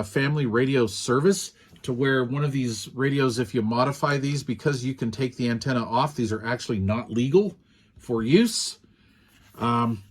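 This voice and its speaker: A man casually demonstrating and reviewing a small walkie-talkie in an easygoing, rambling way, with frequent ums and uhs. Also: medium pitch. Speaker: radio product reviewer. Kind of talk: casual hands-on product demo.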